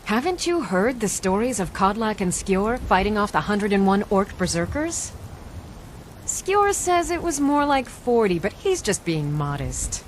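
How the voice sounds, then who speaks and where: A woman's voice: medium pitch, big pitch swings, measured pace, a little hiss or hum. Proud fantasy warrior woman. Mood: proud, admiring. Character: proud, eager woman warrior devoted to her warband. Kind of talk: video game character acting.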